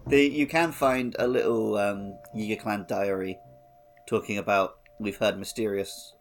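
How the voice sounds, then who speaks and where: A man's voice: lowish voice, steady tone, a little slow. A man co-hosting a podcast, chatting casually and jokily in an informal way. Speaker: podcast co-host. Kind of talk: casual chat, game playalong podcast.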